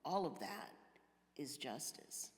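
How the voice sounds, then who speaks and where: A woman sharing sincere, reflective remarks in a discussion, deliberate and measured. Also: very deep voice, big pitch swings. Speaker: discussion participant. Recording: echoey hall.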